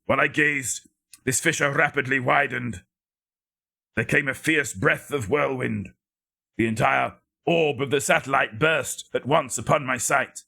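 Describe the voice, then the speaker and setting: Male narrator for gothic fiction: raspy, forceful, theatrical, with measured and deliberate phrasing. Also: mid-range voice. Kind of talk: dramatic scripted gothic story reading.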